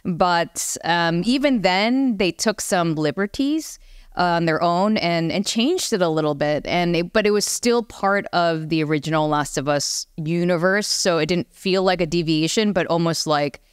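A woman's voice: on the low side, animated, normal speed, smooth, no room sound, studio quality. A woman in a casual panel discussion, speaking in a candid, informal way as a panelist on a gaming show. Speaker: panelist on a video game talk show.

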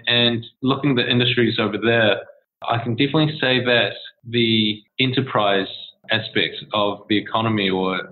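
Nz guy, lowish voice, rambly relaxed interview answers, sounds like a phone line. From New Zealand. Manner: relaxed and discursive, with many restarts and fillers. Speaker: startup co-founder, guest.

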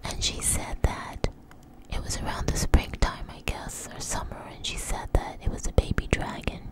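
A woman telling a story in a soft, breathy ASMR whisper, close to the mic, in a conversational, hesitant way. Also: moderate pace, rough recording.

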